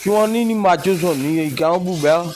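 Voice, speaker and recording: A man's voice: sits high, normal speed, noisy background, natural voice. A man playing a chatty, grumbling, hard-up villager in an amateur rural audio drama, speaking colloquially.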